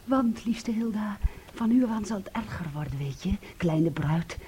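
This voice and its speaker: An actress in a Dutch radio play playing a bitter, possessive, jealous sister with an intense, accusing manner. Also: female voice, mid-range voice, lively intonation, moderate pace, mellow, natural voice. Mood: venomous. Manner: intense, accusing, shifting between whispers and outbursts. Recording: noisy old radio play recording.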